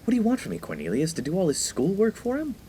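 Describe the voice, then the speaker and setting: A man's voice: high-pitched, wildly swooping pitch, fast talker, mellow, a little hiss or hum. Man playing a dry, pedantic young student. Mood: exasperated. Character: sensible, square young college student, exasperated straight man. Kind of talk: comedic radio play acting.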